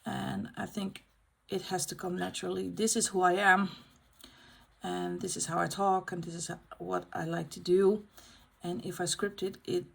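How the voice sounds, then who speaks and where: A middle-aged woman from the Netherlands with a Dutch accent, chatting casually in English as the host of a colouring channel, pausing often to find her words. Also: fairly low pitch, level tone, gravelly, a bit bright, slightly rough. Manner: unscripted, pauses to find words. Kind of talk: casual chat while colouring.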